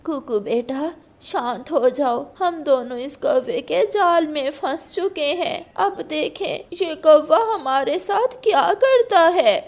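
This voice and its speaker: A woman voicing a caring, worried old grandmother bird in a cartoon, with a gentle motherly manner. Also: high-pitched, expressive, takes it slowly, few pauses, full character voice. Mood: resigned.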